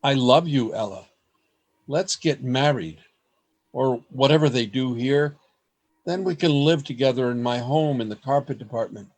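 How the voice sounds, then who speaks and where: A man's voice: medium pitch, normal speed, a little mellow, close to an everyday voice. A man acting an earnest, lovestruck and fearful poet in a radio play, with formal, theatrical phrasing, recorded over a video call. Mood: pleading, lovestruck. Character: earnest, lovestruck, fearful male poet keeping a secret journal. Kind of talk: radio play acting, journal narration and dialogue.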